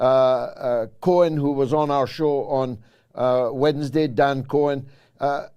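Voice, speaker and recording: Older host in his sixties from Britain, orotund and declamatory, slow measured delivery. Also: fairly high pitch, darkish tone. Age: 60s. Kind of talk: political commentary show.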